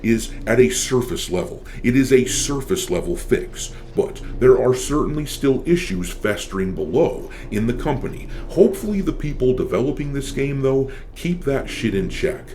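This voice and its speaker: Mellow man with lively, swooping pitch. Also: normal speed, few pauses, slight background noise. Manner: blunt, sardonic, swears casually. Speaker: video channel host and commentator. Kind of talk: opinionated gaming news commentary.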